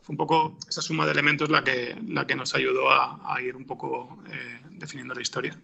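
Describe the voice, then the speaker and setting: Gravelly man. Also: medium pitch, level tone, brisk, pushed and bright, dull audio. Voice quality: gravelly, raspy. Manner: hesitant, thinking aloud, frequent throat clearing. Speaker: interviewed podcast scriptwriter. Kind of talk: informal interview answers.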